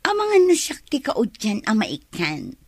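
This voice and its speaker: A woman's voice: fairly high pitch, huge pitch swings, a little slow, darkish tone, no echo, clean studio sound, full character voice. A Filipino woman playing a prying, envious, catty gossip in an Ilocano radio drama.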